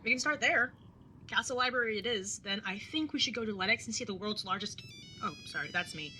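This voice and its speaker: A woman's voice: medium pitch, expressive, a little fast, background noise, natural voice. A woman playing a candid, protective older sister in an audio drama about a road trip. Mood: playful, casual. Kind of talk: audio drama acting with first-person narration.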